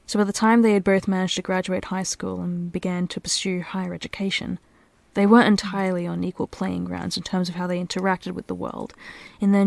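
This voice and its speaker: A woman's voice: lowish voice, vocal fry, a little mellow, dry recording. A woman reading scripted storytelling narration for an audio roleplay.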